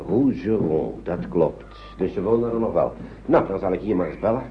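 A man's voice: sits low, expressive, moderate pace. An actor in a radio play as a kindly older man looking after children, fussing and hesitant, often repeating himself. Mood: polite, hesitant. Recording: dull, noisy old radio play recording.

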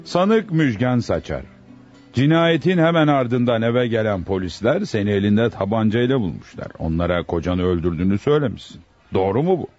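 An actor playing a stern male judge in a radio play, questioning in a deliberate, authoritative way. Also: fairly low pitch, animated, darkish tone, soft background music, lightly acted. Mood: stern, probing. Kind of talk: radio theatre acting. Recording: dull lo-fi radio drama recording.

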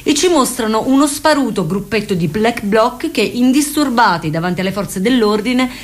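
A woman's voice: on the high side, lively intonation, normal speed, close to an everyday voice. A woman giving scripted, theatrical narration with an ironic edge in a radio play. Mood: ironic, narrating. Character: ironic female narrator questioning police.

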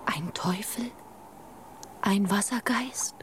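A woman's voice: medium pitch, takes it slowly, a bit bright, slight background noise. An actress playing a timid, fearful young fisherman's wife in a radio play, with a pleading manner. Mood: fearful, hushed. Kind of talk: German radio play acting.

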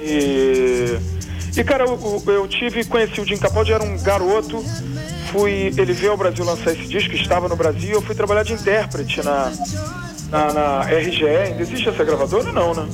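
A man, a musician guest on a radio show, telling stories in an animated, joking way. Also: high-pitched, normal speed. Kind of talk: casual radio chat. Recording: music bed under radio talk.

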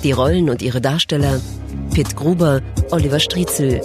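A man's voice: on the high side, normal speed, music in the background. A man reading scripted radio drama credits as an announcer.

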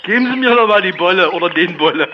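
A man with a high-pitched voice answers as an official on the phone. He stammers in flustered disbelief, and his line is dull with clear noise over it. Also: lively intonation, quick pace. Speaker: official taking a phone call. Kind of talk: unscripted phone conversation. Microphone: telephone. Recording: noisy, dull telephone line.

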